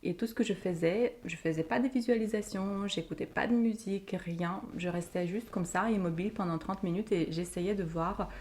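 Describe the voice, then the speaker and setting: A young woman from Ukraine, a podcast guest talking in an emotional, animated way and often laughing. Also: mid-range voice, normal speed, few pauses. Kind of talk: casual interview talk.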